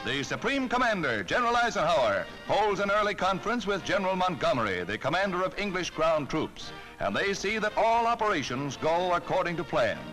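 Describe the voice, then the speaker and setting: Man, American accent, 1940s wartime newsreel narration, higher-pitched, swooping emphatic delivery, rousing and patriotic, vintage film soundtrack. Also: big pitch swings, a little fast, few pauses, a bit bright, poor audio. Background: music.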